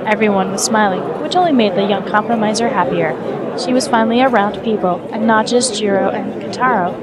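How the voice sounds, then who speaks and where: A woman's voice: mid-range voice, a little fast, over a noisy crowd. A woman reading scripted story narration as the narrator of an audio drama.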